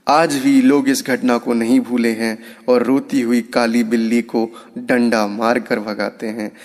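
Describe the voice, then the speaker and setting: A man's voice: medium pitch, a little mellow, long reverb tail. A man narrating a scripted moral story for children as a storyteller.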